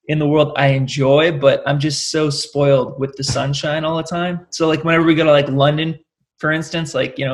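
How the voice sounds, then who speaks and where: A man in his late twenties with a Californian accent, chatting casually as an interview guest in a rambling, filler-heavy way. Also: a little high. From California, United States. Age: late 20s. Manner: rambling, peppered with "like" and "you know". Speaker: interview guest, rock singer.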